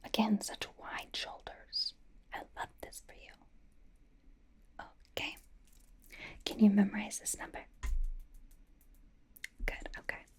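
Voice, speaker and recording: A woman performing a whispered ASMR roleplay as a bubbly, doting young pop idol stylist, breathy, playful and reassuring, with chatty asides. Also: takes it slowly, long pauses.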